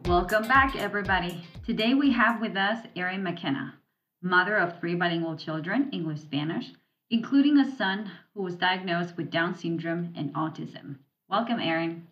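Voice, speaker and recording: A woman's voice: lowish voice, normal speed, fairly bright tone. Woman podcast host with faint music behind. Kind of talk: introducing a guest on an interview podcast. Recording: dull lo-fi sound with faint music.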